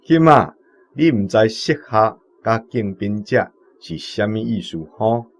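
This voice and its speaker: Jovial, unhurried village uncle. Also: male voice, lowish voice, animated, full character voice. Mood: amused. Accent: Taiwanese. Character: jovial older village scribe, kindly teacher. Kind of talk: radio drama acting. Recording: dull band-limited sound, music behind.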